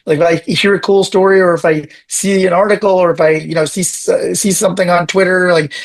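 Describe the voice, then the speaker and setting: Raspy, animated man chatting as a guest. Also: high-pitched. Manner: animated, talks in quick bursts with many restarts. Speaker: podcast guest. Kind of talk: casual interview chat.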